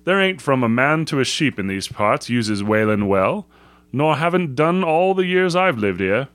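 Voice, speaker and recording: A man's voice: mid-range voice, big pitch swings, normal speed, fairly bright tone, dry recording, voice pushed up. A man voicing an old country shepherd in a storybook, with a rural English dialect and an emphatic, plain-spoken manner. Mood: startled. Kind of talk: storybook character voice.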